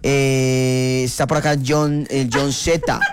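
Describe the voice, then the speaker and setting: A man hosting a casual radio show, relaxed and chatty with hesitant fillers. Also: medium pitch, slow, very noisy. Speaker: radio host. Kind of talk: casual radio chat with a read travel promo.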